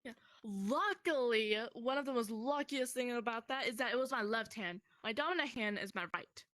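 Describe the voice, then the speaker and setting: A young woman casually telling a personal story to a friend in a rambling, animated way, swearing freely. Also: on the high side, normal speed, no room sound, clean studio sound.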